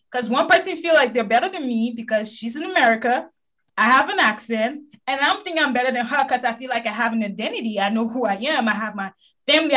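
A woman from Africa with a thick West African accent, telling a personal story in a casual discussion, rising in pitch for emphasis. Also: fairly bright tone, poor audio.

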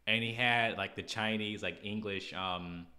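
A man's voice: fairly low pitch, steady tone, unhurried, sharp tone. An American man hosting a podcast, giving casual opinion commentary in a blunt, exasperated, slangy way. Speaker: podcast host.

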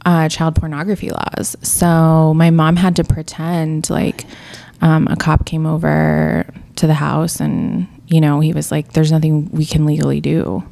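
A woman in her thirties co-hosting a casual chat podcast, with an even, monotone delivery. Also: low voice, a little slow, gravelly, few pauses. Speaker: podcast co-host.

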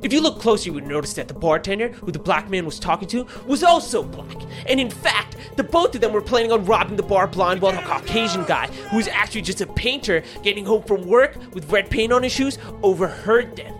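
A man playing a raspy, blunt, stern mentor who lectures a rookie in a scripted comedy skit. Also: sits high, lively intonation, brisk, over music. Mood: stern, explaining. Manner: blunt, instructive.

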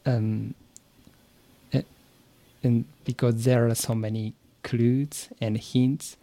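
A man with a Japanese accent, a native Japanese speaker, giving careful, halting interview answers in English as a podcast guest and learner. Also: mid-range voice, little pitch movement, mellow, dry recording. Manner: hesitant, searching for words, halting phrases.

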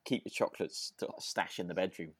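A man co-hosting a podcast, recounting a drama in casual, halting chat. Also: deep voice, slightly rough, dry recording, clean studio sound. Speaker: podcast co-host.

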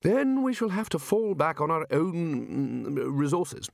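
A man's voice: on the high side, very animated, a little slow, creaky, no room sound, clean studio sound, voice pushed up, heavily acted. A man voicing a pompous, exasperated elderly wizard who runs a university, for a storybook reading. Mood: rallying. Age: older. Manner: pompous, exasperated, takes charge with hesitant ums. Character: booming, bossy elderly male wizard, head of a university.

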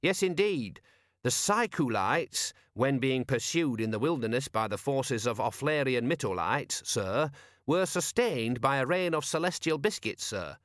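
A man's voice: on the high side, animated, moderate pace, fairly bright tone, no echo, studio quality, voice pushed up. A man voicing an earnest, pious constable who speaks firmly and with formal deference, in an audiobook. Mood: fervent conviction. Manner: firm, emphatic, respectful.

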